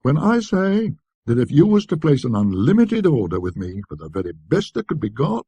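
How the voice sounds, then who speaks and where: Male narrator as antique dealer, wordy, obliging, folksy grammar, animated pitch, dry close sound, storybook character. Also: moderate pace, slightly rough, dry recording. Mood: persuasive, earnest. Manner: folksy ungrammatical salesman's patter.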